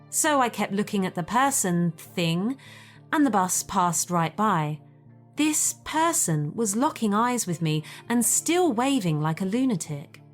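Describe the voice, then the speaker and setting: A woman with a British accent reading a scripted first-person story as a storyteller. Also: medium pitch.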